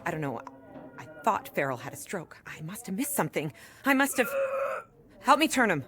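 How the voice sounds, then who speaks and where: A woman's voice: on the low side, big pitch swings, fairly quick, over loud music. A woman playing a weary, dogged rural doctor and mother in an audio drama, with a dry, blunt, wry delivery. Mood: urgent, anxious. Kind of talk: naturalistic audio drama acting.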